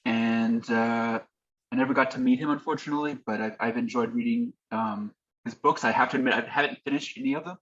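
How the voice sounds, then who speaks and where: Man, halting casual intro; muffled lo-fi call sound with a faint hum behind. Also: mid-range voice, fairly bright tone. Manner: hesitant, self-repeating. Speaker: book club member. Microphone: video call.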